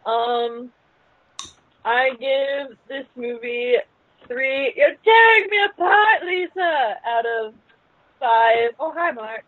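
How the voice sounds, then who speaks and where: A woman's voice: high-pitched, animated, slow. A woman on a panel reviewing films in casual chat, recorded over a thin internet call. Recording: dry phone-like internet call.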